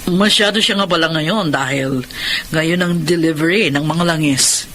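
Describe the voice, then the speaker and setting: A woman's voice: sits low, normal speed, a bit bright, slight background noise. A woman playing a kindly, authoritative married lady lawyer in an audio drama, reassuring and inquisitive, motherly but firm. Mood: friendly, businesslike. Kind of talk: Tagalog radio-style drama acting.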